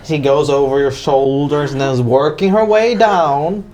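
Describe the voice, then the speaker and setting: A game master improvising narration for a tabletop roleplay in a halting, hesitant way. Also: medium pitch, noisy background.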